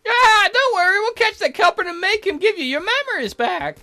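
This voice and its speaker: Devoted bird servant for a visual novel, played by a man: very high, prim, fawning, fussy, quick to take offence. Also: lively intonation, pushed and bright, exaggerated voice. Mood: gentle, concerned. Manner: formal, fawning and easily indignant. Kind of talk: visual novel character voice-over.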